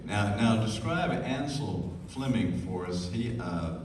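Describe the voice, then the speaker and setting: A man hosting a live radio show, asking questions in a relaxed, conversational way. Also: lowish voice, slow pace. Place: stage before a live audience. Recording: very echoey hall, distant mic, faint ambience.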